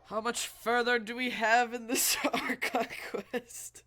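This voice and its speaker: A woman's voice: on the high side, little pitch movement, slow, sharp tone. A woman live-streaming a game with casual, blunt, offhand commentary and some swearing.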